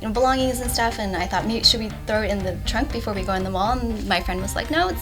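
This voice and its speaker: Fast-talking Canadian woman. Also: medium pitch, over music. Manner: chatty, quoting dialogue with laughs. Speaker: guest, works in legal field. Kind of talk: casual panel chat.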